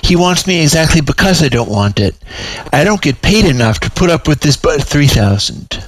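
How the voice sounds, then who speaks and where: A man voicing a small, proud, sultry female cat-person in a story, purring and fastidious. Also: a little high, very animated, dry recording, pitched above the performer's own voice, rough recording. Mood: disgusted. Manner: purring, reluctant.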